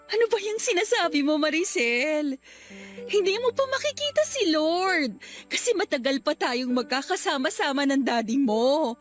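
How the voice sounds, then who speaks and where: A loving, anxious mother in a radio drama. Her voice is high and emotional, tenderly coaxing, with music faintly behind. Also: lively intonation, normal speed. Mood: reassuring, tender. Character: devoted, anxious mother of a sick child.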